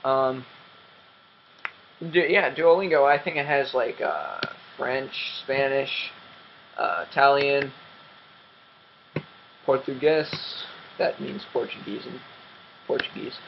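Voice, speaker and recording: A man's voice: mid-range voice, slow, creaky, long pauses, dull audio, a little hiss or hum. A young man hosting a game commentary, rambling and self-mocking with lots of ums, his voice dry and cracking. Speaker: gaming channel host.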